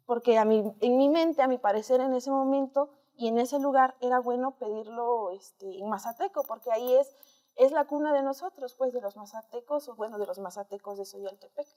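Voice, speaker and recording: A woman's voice: a little high, mellow. A woman with a Mexican accent, an interview guest telling personal stories in a conversational, hesitant, reflective way.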